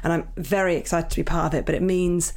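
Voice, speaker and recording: An Irish woman hosting her podcast in a casual, chatty way, her voice croaky from early morning. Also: fairly low pitch, fairly even intonation, quick pace, a little mellow. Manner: chatty, apologetic, upbeat. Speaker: podcast host. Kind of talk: casual chat.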